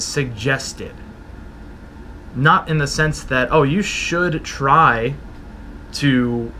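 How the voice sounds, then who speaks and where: A man in his thirties hosting a solo podcast from a small room at home, talking slowly and reflectively in an encouraging way into a desk mic. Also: mid-range voice, pushed and bright, slight background noise. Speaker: podcast host. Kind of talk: motivational solo talk. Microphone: studio mic with pop filter, camera at desk.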